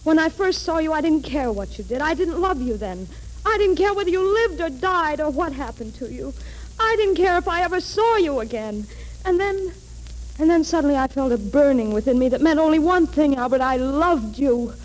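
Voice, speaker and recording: A woman playing a lovesick, pleading heroine in a 1940s radio melodrama, imploring and overwrought, with dramatic pauses. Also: high voice, expressive, poor audio. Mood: desperate pleading.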